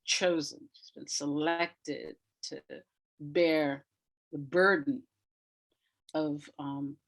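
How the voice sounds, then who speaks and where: A woman with a low-set voice talks as a guest in an interview. She has the emphatic manner of an educator, restating phrases to drive them home, in a conversational flow. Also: steady tone, very slow, low-quality recording.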